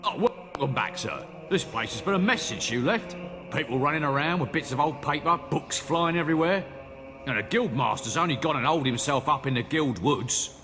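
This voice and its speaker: A man voicing a flustered, grumbling underling in a fantasy game, with animated, colloquial speech. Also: a little high, normal speed, music in the background. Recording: long hall reverb.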